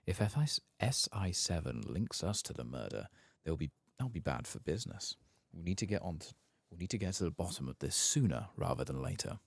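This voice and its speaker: A man softly reading out the lines of a guarded, calculating fantasy spymaster in a game. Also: deep voice, very animated, brisk, gravelly, few pauses, dry recording, exaggerated voice. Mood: guarded, concerned. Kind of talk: soft-spoken reading of game quest text.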